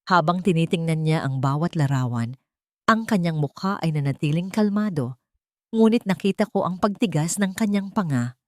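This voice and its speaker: A woman's voice: fairly low pitch, lively intonation, normal speed, no room sound, clean studio sound. A woman reading a scripted, first-person dramatic story as its narrator.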